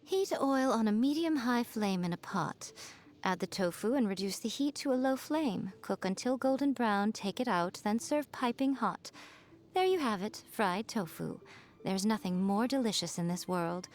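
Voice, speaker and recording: A woman voicing a sly, elegant fox shrine maiden for a video game, poised and coy, with a teasing, wryly condescending manner and drawn-out phrasing. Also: medium pitch, lightly acted. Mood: smug, teasing. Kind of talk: scripted video game character voice lines.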